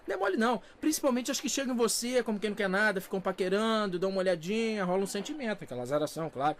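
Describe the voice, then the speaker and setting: A man playing a cocky, skirt-chasing young superhero in a dubbed animated film, chatting boastfully in Brazilian slang. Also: sits high, a little hiss or hum.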